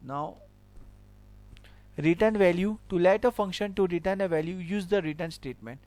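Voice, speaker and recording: High-voiced man teaching code step by step. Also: normal speed, a little mellow, no room sound. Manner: explains step by step, repeats points with filler "okay". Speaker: programming teacher. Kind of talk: screen-recorded coding lesson.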